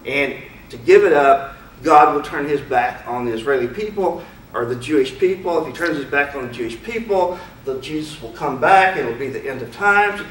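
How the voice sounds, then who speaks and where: A man with a fairly high voice gives a loose, opinionated lecture and keeps throwing rhetorical questions at the room. He is picked up from a distance, so his voice carries the echo of a large lecture room. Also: animated, moderate pace, a bit bright, slight background noise. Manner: conversational, asks many rhetorical questions; opinionated, speaks frankly. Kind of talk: informal lecture to an audience. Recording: roomy hall, mic far off.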